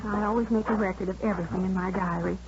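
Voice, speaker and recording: A woman's voice: mid-range voice, steady tone, quick pace, lightly acted. An actress playing a talkative, proper, aggrieved woman who runs a nursery school, in a 1950s radio drama. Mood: chatty, earnest. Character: indignant, chatty woman running a nursery school. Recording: muffled old radio, faint noise.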